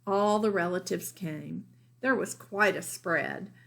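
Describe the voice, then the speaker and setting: A woman's voice: medium pitch, takes it slowly, gravelly, a bit bright. A woman teacher reading a children's storybook aloud expressively, explaining things simply for young listeners.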